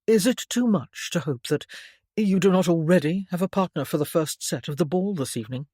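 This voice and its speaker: A woman reading an audiobook, voicing a charming young male viscount in a smooth, dryly teasing way. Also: lowish voice, animated, a little fast, mellow, dry recording, studio quality. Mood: gallant.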